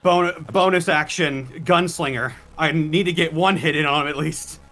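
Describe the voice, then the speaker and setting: A man with a somewhat high voice plays a tabletop role-playing game. He chats casually and improvises descriptions of his moves over quiet background music. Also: fairly even intonation, moderate pace.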